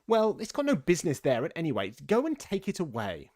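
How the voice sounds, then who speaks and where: A man's voice: medium pitch, very animated, moderate pace, a bit bright, no room sound, clean studio sound. A man voicing an angry, bossy male rabbit master in an imperious, scolding manner for a storybook. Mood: angry, impatient.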